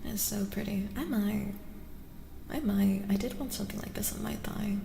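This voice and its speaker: A woman speaking in a soft, gentle ASMR style as she chats casually with livestream viewers, often dropping into whispers. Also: mid-range voice, steady tone, moderate pace, creaky, echoey room, in a large hall, background noise. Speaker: livestreamer giving tarot readings.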